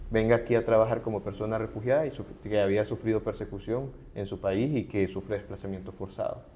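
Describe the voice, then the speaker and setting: A man's voice: medium pitch, darkish tone. A middle-aged man, a human rights advocate, earnestly explaining points as a guest in an interview. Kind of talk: conversational interview.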